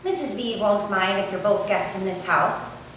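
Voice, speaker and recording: A woman's voice: mid-range voice, normal speed, a bit bright, natural voice. A woman playing a kindly, deferential young Victorian housemaid, chatting in a homely way in a staged play reading. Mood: chatty, deferential. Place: indoor theatre space, staged reading. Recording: very echoey hall, distant mic, faint noise.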